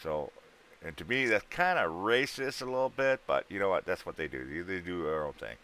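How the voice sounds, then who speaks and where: A man's voice: sits low, animated, fairly quick, fairly bright tone. A man in his thirties, hosting a livestream and bantering with guests in trucker slang. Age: 30s. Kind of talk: casual livestream chat.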